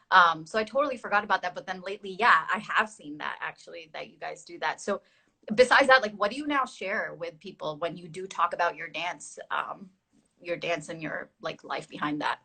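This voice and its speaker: A woman's voice: fairly low pitch, sharp tone, slightly rough. Young woman dance teacher hosting a live chat, chatty, lots of um and like. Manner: chatty, many fillers, laughs at herself. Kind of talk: casual chat on an online live stream.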